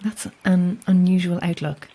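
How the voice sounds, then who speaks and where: Dry, low-voiced woman lighthouse keeper. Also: fairly even intonation, a little slow, dark tone. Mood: sceptical, probing. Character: guarded, sceptical lone lighthouse keeper, woman, wryly amused. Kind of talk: naturalistic audio horror drama acting.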